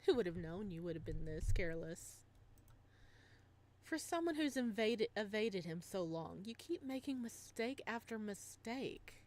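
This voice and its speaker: A woman's voice: medium pitch, expressive, measured pace, darkish tone, lightly acted. Woman voicing a smug, taunting male stalker. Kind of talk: dramatic visual novel voice reading.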